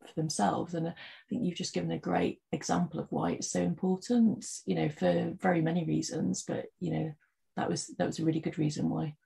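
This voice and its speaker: A podcast host with a very raspy, gravelly voice, dark in tone. He speaks in a flat, even way, weighs his words, and adds qualifications as he talks. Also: mid-range voice. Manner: measured, qualifies his points. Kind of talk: interview discussion.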